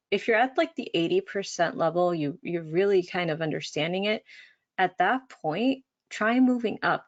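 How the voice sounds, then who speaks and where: Woman teaching a webinar, dull audio. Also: fairly low pitch. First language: Spanish. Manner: casual, encouraging, many fillers. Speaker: language instructor. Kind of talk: webinar presentation.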